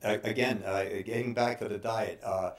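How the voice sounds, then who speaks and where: A man in his sixties giving informal advice as a personal trainer, speaking haltingly with frequent pauses. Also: on the low side, fairly even intonation. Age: 60s. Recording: roomy, echoing room sound.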